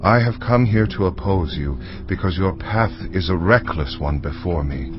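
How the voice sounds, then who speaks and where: An actor playing a stern, threatening angel in a dramatised audio Bible, solemn and commanding. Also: male voice, low-pitched. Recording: dull audio under loud music.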